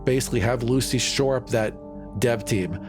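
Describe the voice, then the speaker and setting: A man's voice: medium pitch, moderate pace. A man playing a tabletop roleplaying game, speaking conversationally as he improvises in character. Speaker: player in a tabletop roleplaying show. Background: faint music.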